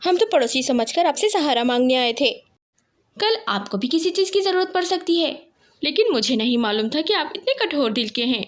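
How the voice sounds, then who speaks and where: A woman voicing a gentle, polite, forgiving mother bird in a cartoon. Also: high-pitched, sing-song, moderate pace, pitched above the performer's own voice. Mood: hurt. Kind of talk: Hindi cartoon character acting.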